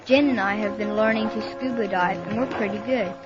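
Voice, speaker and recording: A woman's voice: mid-range voice, steady tone, fairly quick, natural voice. A woman playing an earnest young teen diver, narrating a 1970s children's adventure record. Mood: earnest storytelling. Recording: muffled old record with music.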